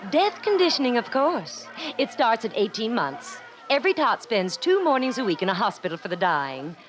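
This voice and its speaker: An actress playing a callously cheerful, matter-of-fact hospital attendant in an old radio drama. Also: female voice, a little high, very animated, moderate pace, faint music behind. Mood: brisk, cheerful. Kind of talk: 1950s radio drama acting. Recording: vintage radio recording with faint noise.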